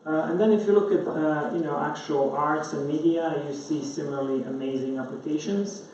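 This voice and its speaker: A man's voice: a little high, steady tone, moderate pace, darkish tone. A very echoey, far-off recording of a man presenting at a conference. He explains in an informal, hesitant and loosely structured way, and the sound is somewhat dull. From Croatia. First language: Croatian. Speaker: conference speaker, science journalist. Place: indoor conference room. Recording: very echoey room, distant mic, dull, faint noise.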